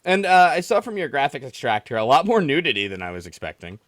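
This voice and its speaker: A man's voice: mid-range voice, sing-song, quick pace, a bit bright, no echo, studio quality. A man hosting a gaming stream, talking in a casual, conversational way. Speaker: stream host. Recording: music playing behind the talk. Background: music.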